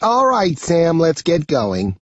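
A man voicing a petite, brisk mother who speaks French and is easily disappointed, in an audiobook. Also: fairly high pitch, wildly swooping pitch, no echo, dull audio, voice pushed up, full character voice.

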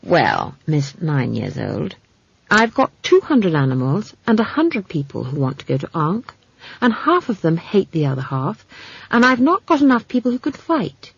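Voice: mid-range voice, huge pitch swings, normal speed, creaky, slightly rough, no room sound, lo-fi sound, heavily acted. A narrator voicing a cold, brusque, overburdened man who leads a caravan in a storybook reading. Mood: exasperated. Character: gruff, irritable, overburdened man leading a trade caravan.

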